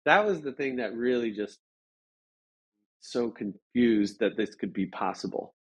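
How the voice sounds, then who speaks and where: An American man from Chicago telling stories casually as an interview guest, halting and rambling with lots of filler words. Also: medium pitch, expressive, slightly rough. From Chicago, United States. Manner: halting, rambling, frequent "like" and "you know". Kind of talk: casual conversational storytelling. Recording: dull lo-fi audio.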